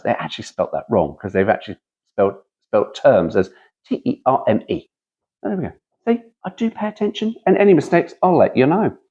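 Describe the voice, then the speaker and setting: Man with lively, swooping pitch. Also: breathy edge, lo-fi sound. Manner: chatty, digressive, wry. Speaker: host reading a car brochure aloud. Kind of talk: casual read-aloud with chatty asides.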